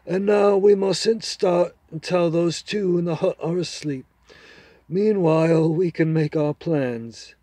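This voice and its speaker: A man voicing a proud, scornful male talking horse in a storybook reading, loud and lecturing. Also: fairly high pitch, takes it slowly, no room sound, voice pushed up. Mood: confiding. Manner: haughty, lecturing, loud and emphatic.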